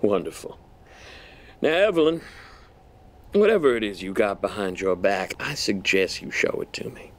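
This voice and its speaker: Man acting as smug army general, low voice, mock-polite, insinuating menace, audio drama. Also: expressive, moderate pace, long pauses, lightly acted. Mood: smugly menacing. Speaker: actor.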